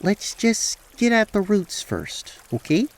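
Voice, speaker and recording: A man voicing a sweet, earnest, easily flustered young talking goat in a fantasy audio drama, with folksy, stammering, gentle speech. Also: high-pitched, huge pitch swings, takes it slowly, heavy background noise, pitched above the performer's own voice, heavily acted. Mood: concerned.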